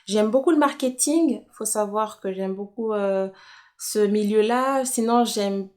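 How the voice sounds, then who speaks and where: A woman's voice: mid-range voice, a little slow, darkish tone. Chatty young woman vlogger. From Congolese, from Kinshasa, living in Switzerland. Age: young adult. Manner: chatty, self-correcting, thinking aloud. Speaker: YouTuber. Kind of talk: casual personal question-and-answer vlog.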